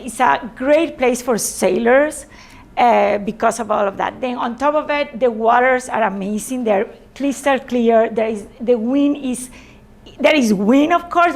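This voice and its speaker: High-pitched Spanish-accented woman presenting. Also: moderate pace, gravelly, a little mellow. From Spain. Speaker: presenter, sailor. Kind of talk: informal presentation to a group.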